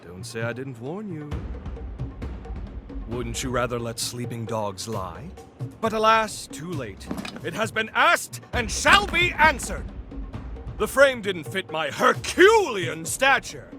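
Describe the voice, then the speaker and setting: Theatrical high-voiced eccentric gentleman. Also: sing-song, slow. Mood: musing, then jaunty. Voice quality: high-pitched, swooping delivery. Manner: pompous, florid, exclamatory and theatrical. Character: grandiose, eccentric, tea-loving gentleman, theatrical and affable. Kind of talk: theatrical video game character acting. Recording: music behind the voice. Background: clear background music.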